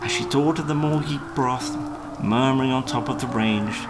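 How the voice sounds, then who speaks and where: A man reading a children's story as a narrator, in a measured, dramatic storytelling delivery. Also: medium pitch, very noisy. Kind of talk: scripted storybook narration for a live puppet show. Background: plucked guitar music.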